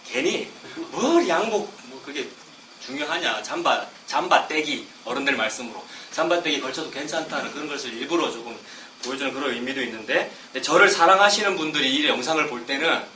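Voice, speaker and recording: A middle-aged man with a cold preaching a sermon, chatting casually between slow scripture readings. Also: mid-range voice, animated, pushed and bright. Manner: conversational, self-deprecating asides between slow scripture reading. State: has a cold, coughing. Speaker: pastor. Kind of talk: expository sermon. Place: church sanctuary. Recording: roomy, distant mic with background noise.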